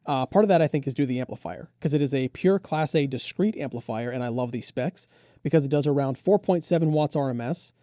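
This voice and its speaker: Mellow-voiced man reviewing audio gear. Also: medium pitch, quick pace, no echo, clean studio sound. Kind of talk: conversational product rundown, reading out specs.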